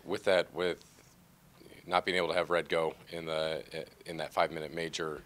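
A man's voice: deep voice, level tone, moderate pace, fairly bright tone. A man asking hesitant questions at a press conference, with many fillers. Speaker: reporter.

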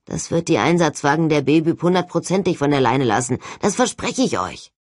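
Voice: medium pitch, very animated, brisk, no room sound, clean studio sound. Narrator voicing an indignant, sarcastic young man arguing. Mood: insistent. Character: argumentative, indignant, sceptical young male ghost hunter.

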